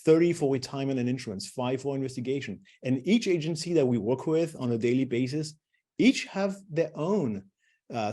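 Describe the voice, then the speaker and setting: Middle-aged male presenter: mellow, relaxed tone, mid pitch, talks loosely with fillers and restarts. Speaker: webinar presenter. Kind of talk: webinar presentation.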